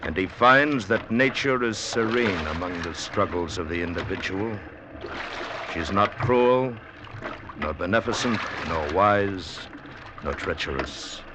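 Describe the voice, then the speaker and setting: Deep, slow radio narrator. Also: male voice, expressive, background noise. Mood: grave, brooding. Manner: solemn, deliberate. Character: grave, philosophical narrator of a sea tale. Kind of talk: scripted literary narration, 1940s-50s radio drama.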